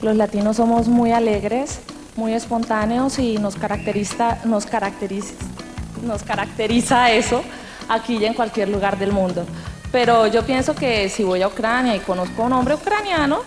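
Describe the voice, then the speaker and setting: A woman from Colombia, a pageant contestant, answering on stage through an interpreter in a lively, confident way. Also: fairly high pitch, brisk, music in the background.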